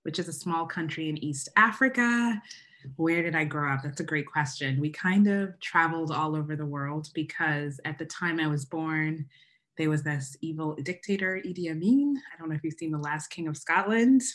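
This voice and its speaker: A middle-aged woman from Uganda, a guest on a podcast, speaking remotely in a lively, conversational way and laughing easily. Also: low voice, normal speed. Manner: animated storyteller, laughs easily. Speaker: guest, wedding photographer. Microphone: remote call from home.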